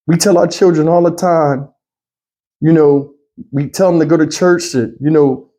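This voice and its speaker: Male online commentator, high but soft-edged voice, speaking off the cuff with pleading exasperation. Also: steady tone, normal speed. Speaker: YouTube news commentator. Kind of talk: off-the-cuff opinion commentary to viewers.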